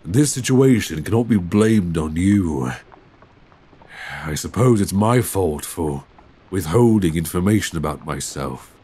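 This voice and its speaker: A man voices a Victorian gentleman vampire with a very low voice that swings widely in pitch. He speaks slowly and intimately in courteous, old-fashioned phrases, restrained and secretive, as in a romantic ASMR audio drama. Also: lively intonation, exaggerated voice. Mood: apologetic, restrained. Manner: formal, genteel period diction with endearments. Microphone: close binaural microphone. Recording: binaural recording with clear background ambience.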